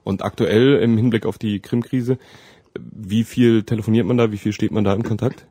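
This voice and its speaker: Dark-voiced male campus radio interviewer. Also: lowish voice, steady tone, moderate pace, breathy edge, dry recording, clean studio sound.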